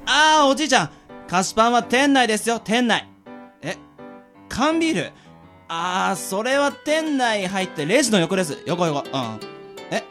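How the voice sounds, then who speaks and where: Man playing a blunt young cake seller, animated. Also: high voice, moderate pace, soft background music. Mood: exasperated. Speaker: radio drama performer. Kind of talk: amateur Japanese radio drama acting.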